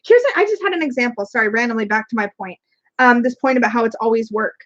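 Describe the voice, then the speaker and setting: A woman's voice: fairly high pitch, lively intonation, fast talker, fairly bright tone. A woman co-hosting a casual live stream, talking frankly and chattily with jokes and swearing. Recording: dull lo-fi stream audio.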